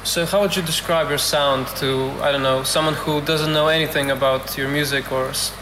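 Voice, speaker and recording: Guy interviewing, higher-ish voice, halting, roomy echo and noise. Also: steady tone. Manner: halting, searching for words. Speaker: radio interviewer. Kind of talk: informal interview. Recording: echoey room with noticeable noise.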